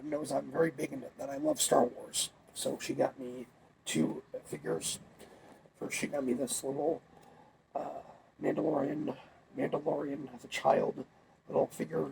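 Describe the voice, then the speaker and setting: A man's voice: mid-range voice, gravelly, background noise. A young man talking casually, a little congested while he gets over a cold, rambling with fillers and restarts. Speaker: vlogger. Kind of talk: casual chat.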